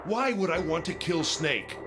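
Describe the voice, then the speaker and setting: An indignant corporate villain backed into a corner, higher-set voice, theatrical swings, game music underneath. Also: male voice, expressive, measured pace. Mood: defensive. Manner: emphatic, self-justifying, theatrical. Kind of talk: dramatic visual novel dialogue acting.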